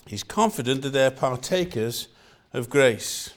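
Older Welsh male preacher, earnest, repeats lines for emphasis, mid-pitched voice. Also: expressive, a little slow. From Wales. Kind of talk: sermon.

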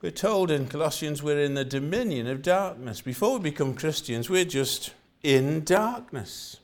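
A man's voice: on the high side, animated, moderate pace. An older man from Wales with a Welsh accent, preaching a sermon in an earnest style and repeating phrases for emphasis.

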